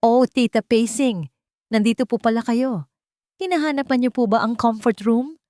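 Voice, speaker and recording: A woman's voice: fairly high pitch, moderate pace, dark tone, dry recording, clean studio sound, voice pushed up, natural voice. A Filipino woman voicing a polished, scornful wealthy wife with a gushing, falsely gracious manner in a narrated story. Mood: fake sweetness.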